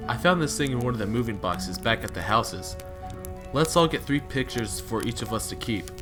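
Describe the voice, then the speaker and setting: A man's voice: mid-range voice, lively intonation, normal speed, lightly acted. A man playing a bossy, bitter, hard-edged divorced survivor in an amateur audio drama. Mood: plain. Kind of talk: amateur audio fiction dialogue. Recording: background music under the voice.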